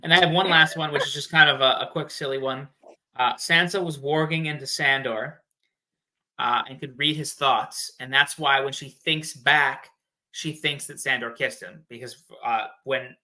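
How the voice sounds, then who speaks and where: A man with a very bright, sharp-edged voice at an average pitch talks through fan theories as a guest. He explains casually and playfully, with plenty of slang. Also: steady tone, normal speed. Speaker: podcast guest.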